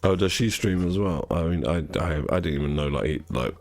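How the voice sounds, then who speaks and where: A young man with a notably low, nasal voice chats loosely to his viewers while gaming. He rambles, restarts his sentences and corrects himself as he goes. The sound is dry, with no room to it. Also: lively intonation, fairly quick, darkish tone, no echo. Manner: rambling, self-correcting, chatty. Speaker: game streamer. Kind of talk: casual chat while gaming.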